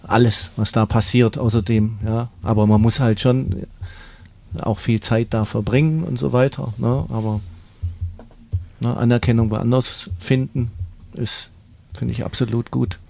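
Gravelly man, casual discussion. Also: mid-range voice, fairly even intonation, unhurried, darkish tone. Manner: casual, tags sentences with "ne". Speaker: discussion participant. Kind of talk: studio discussion.

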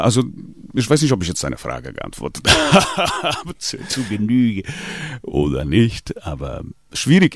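Singer, man, deep gravelly voice, lively hesitant delivery with many restarts, dry sound. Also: no room sound. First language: Portuguese. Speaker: singer in a latin music band. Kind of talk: radio interview.

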